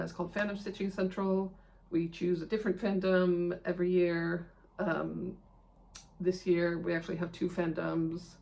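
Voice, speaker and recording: Creaky-voiced woman chatting casually. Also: lowish voice, darkish tone. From Iceland. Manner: rambling, self-deprecating, chatty. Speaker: video host of a cross-stitch channel. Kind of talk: casual vlog chat to camera.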